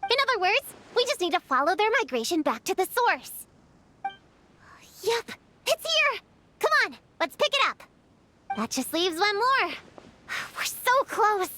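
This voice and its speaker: Earnest little know-it-all girl, high voice, lively intonation, game character, music behind. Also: a little slow, slight background noise, full character voice. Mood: determined. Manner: precise, explanatory. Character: earnest, bookish young girl, self-serious little detective. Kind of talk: video game voice acting.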